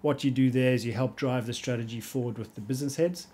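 A middle-aged man from South Africa with a South African accent, a guest coach telling his career story in a measured, reflective way in a small podcast studio on a studio mic. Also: mid-range voice, steady tone, a little mellow, slightly rough. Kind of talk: casual podcast conversation. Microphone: studio mic on boom arm.

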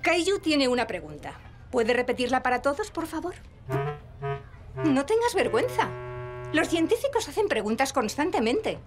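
A woman's voice: high voice, moderate pace. A woman with a Castilian Spanish accent playing a cheerful, patient preschool teacher in a children's cartoon dub. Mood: patient, encouraging. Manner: animated, patient, explaining to small children. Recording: music behind the voice.

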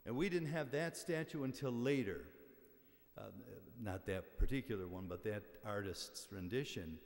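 An older American man preaches an informal homily as a priest. His voice sits a little low, and he talks conversationally, pausing and wandering into asides. A lectern microphone carries him through the long reverb of a large church. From western New York, United States. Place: large church interior, at the ambo. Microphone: gooseneck lectern microphone. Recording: reverberant church hall sound.

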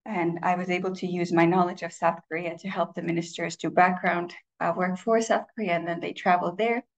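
Woman in her 30s, English speaker, low gravelly voice, reflective presentation delivery. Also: level tone, lo-fi sound. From Estonia. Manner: reflective, with frequent filler pauses and restarts. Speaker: conference speaker. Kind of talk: personal presentation talk.

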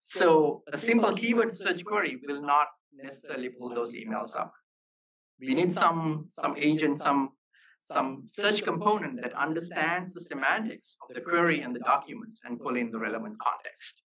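A man presenting as a software engineer at a meetup, explaining technical material in halting phrases. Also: on the high side, lively intonation, a crowd in the background. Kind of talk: technical conference talk. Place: live meetup talk. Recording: voice slightly distant from microphone.

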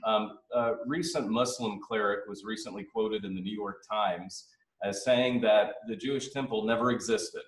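A man's voice: on the low side, fairly even intonation, moderate pace. Echoey male radio host. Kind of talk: live radio panel hosting, addressing listeners. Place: classroom at a seminary, small audience. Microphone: desk microphone on boom arm. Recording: roomy echo, somewhat distant.

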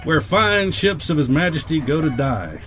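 A man's voice: fairly high pitch, very animated, moderate pace, a bit bright, soft background music. A man playing a sardonic military lieutenant in an audio drama, wry and dramatic.

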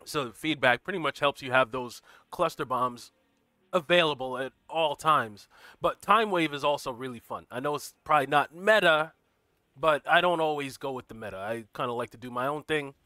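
A man hosting a gaming livestream in casual commentary, greeting viewers by name and walking through his build, a little stuffy from a cold and clearing his throat now and then. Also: mid-range voice, normal speed, sharp tone, no room sound. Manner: walks through game build details. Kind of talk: casual live gameplay commentary.

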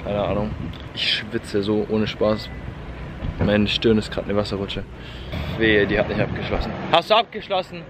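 A man's voice: on the low side, moderate pace. A young man chatting casually in a travel vlog, in slangy running commentary, filmed with a handheld camera outdoors and in a car. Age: young adult. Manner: loose slangy chatter, narrating on the move. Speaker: vlogger. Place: outdoors and in a car on a road trip. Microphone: handheld camera at arm's length. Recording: loud wind and traffic noise outdoors.

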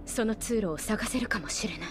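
An actress playing an earnest, compassionate young woman in a video game, serious and soft-hearted in delivery. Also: medium pitch, moderate pace, over music, lightly acted. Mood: thoughtful. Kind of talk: dramatic video game acting.

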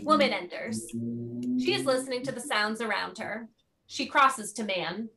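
A woman's voice: on the high side, unhurried, vocal fry, sharp tone, soft background music. A woman giving scripted narration, reading out the stage directions of a play.

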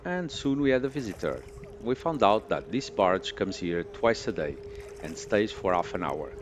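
A man narrating his sailing trip as a travel vlog voice-over, in a relaxed tone with wry understatement. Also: on the low side, normal speed, noisy background. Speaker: boating vlogger. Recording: music playing underneath.

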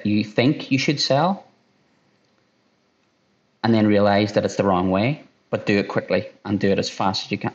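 Irish man, fast talker, low voice, headphones on a video call, dull lo-fi audio. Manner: fast-talking, repeats himself, many restarts. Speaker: sales director, guest. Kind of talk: casual interview answers. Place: room at home by a window.

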